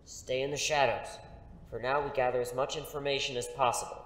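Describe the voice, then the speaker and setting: Confident crime-fighting mentor played by a man, mid-pitched, dry and commanding, for a superhero audio drama. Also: flat delivery, brisk, fairly bright tone, slight background noise, natural voice, rough recording. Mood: hushed, focused. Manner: dry, instructive, self-assured.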